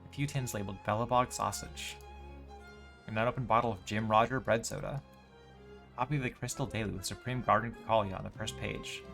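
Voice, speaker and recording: A man voicing a measured, literary narrator of descriptive game texts. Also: on the low side, fairly even intonation, creaky, a bit bright, faint music behind. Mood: matter-of-fact. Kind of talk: scripted text read aloud.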